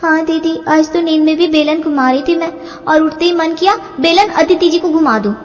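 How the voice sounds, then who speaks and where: Woman playing a young daughter-in-law, feisty, complaining, very high voice, story cartoon, long reverberation. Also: brisk, dull audio, faint music behind. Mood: scheming. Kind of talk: Hindi moral-story cartoon voicing.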